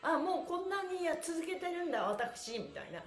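Casting: middle-aged woman, stretching instructor, high-pitched and cheerful, polite chatty delivery, recorded in a roomy space. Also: normal speed. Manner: animated, cheerful, very polite honorific wording, frequent chuckles. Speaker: stretching instructor and livestream host. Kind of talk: guided exercise instruction with counted chants and chatty asides. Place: small plain indoor room, sitting on a mat. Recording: roomy echo, faint music.

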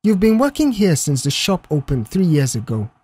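A storyteller voicing a calm, reserved young man who manages a car workshop, in a measured, matter-of-fact way. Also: medium pitch, breathy edge. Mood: serious, probing.

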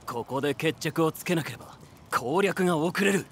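Haughty commander played by a man, slightly high, swinging pitch, ruthless anime drama strategist over faint music. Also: lively intonation, moderate pace, slight background noise, lightly acted. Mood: haughty scheming. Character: arrogant, ruthless young warlord strategist commanding his squad.

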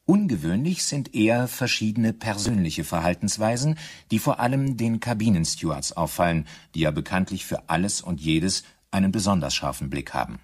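A man narrating a German radio drama in a measured, matter-of-fact, scripted style. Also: low voice, lively intonation, slightly rough. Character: sober, knowing male documentary-style narrator.

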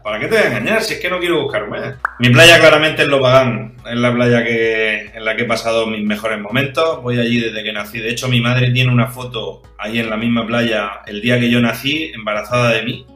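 A man's voice: medium pitch, a little fast, reverberant, faint music behind. A man in his forties from the Murcia region, chatting casually and fondly about beaches over a video call with a headset. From Murcia region, Spain. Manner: relaxed, reminiscing, chatty. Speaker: interviewee. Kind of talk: casual personal chat. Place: small room indoors. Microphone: headset mic on a video call.

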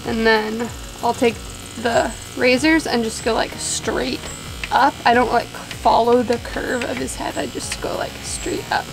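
A woman's voice: fairly high pitch, fairly even intonation, a little slow, creaky, music in the background, rough recording. A young woman outdoors on a deck, chatting casually as she explains how she cuts hair at home, laughing at herself now and then. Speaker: vlogger cutting her husband's hair.

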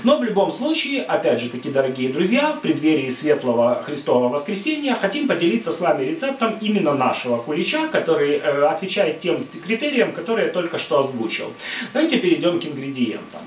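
A man's voice: on the high side, big pitch swings, fast, distant mic, a little hiss or hum. Middle-aged man talking in an echoey kitchen. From Ukraine. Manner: rambling, many "uh" fillers, friendly and explanatory. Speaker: cooking channel host. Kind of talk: casual cooking talk to camera. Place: home kitchen indoors. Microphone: far camera.

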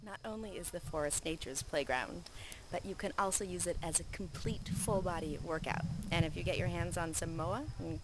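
A woman's voice: lowish voice, moderate pace, very noisy. A young woman hosting a travel video, talking enthusiastically to a handheld camera on a forest trail. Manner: upbeat, enthusiastic, talks to a handheld camera while walking. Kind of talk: casual on-camera presenting. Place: outdoors in a forest on a hiking trail. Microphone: handheld camera at arm's length.

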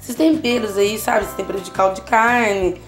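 A woman's voice: medium pitch, fairly even intonation, moderate pace, soft background music. A woman with a Brazilian Portuguese accent hosting a casual home cooking tutorial, chatting to her viewers as she cooks in her kitchen. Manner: chatty, constantly checking in with the viewer. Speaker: cooking channel host.

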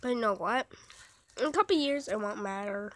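A woman reading out a guarded seventeen-year-old foster girl in a story game, flat and sullen. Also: mid-range voice, a little fast, a little hiss or hum, natural voice.

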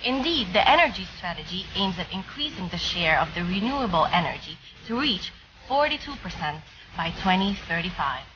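A woman's voice: lowish voice, big pitch swings, normal speed, bright, forceful tone, slight background noise. Earnest woman over dull lo-fi music. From Egypt. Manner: formal, earnest delivery of a prepared speech. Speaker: pageant contestant. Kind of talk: scripted narration.

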